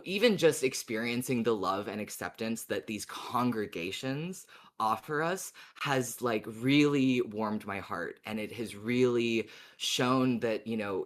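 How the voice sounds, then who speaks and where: Low-voiced man chatting on a podcast. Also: fairly bright tone. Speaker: podcast host. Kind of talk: casual podcast discussion.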